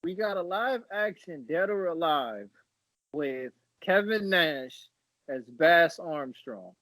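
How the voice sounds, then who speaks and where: A man with a fairly high voice hosts a casual podcast. He talks informally and haltingly, often circling back to repeat a phrase. The sound is very dry, with hardly any room on it. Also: lively intonation, fairly bright tone, no echo. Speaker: podcast host. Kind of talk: casual chat about films and games.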